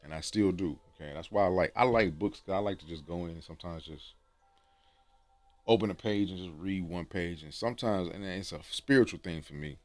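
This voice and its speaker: A man from Texas with a deep, resonant voice, giving rambling, slangy self-improvement advice to camera and often tagging "okay?". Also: fairly quick, no room sound, soft background music. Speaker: dating and self-improvement vlogger. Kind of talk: casual talk to camera. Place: room with books on shelves.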